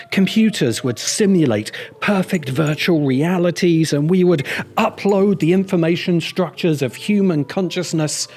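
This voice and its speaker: A man reading scripted video essay narration in a measured, emphatic and wry manner. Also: fairly high pitch, a little mellow. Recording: music bed under the voice. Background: background music.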